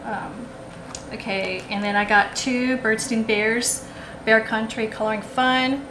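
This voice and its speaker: A woman's voice: fairly high pitch, steady tone, takes it slowly. Chatty woman in an echoey room. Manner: rambling, many ums and restarts. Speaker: haul vlogger. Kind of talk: casual show-and-tell haul. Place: indoors at a table, camera over the table. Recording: echoey room with background noise.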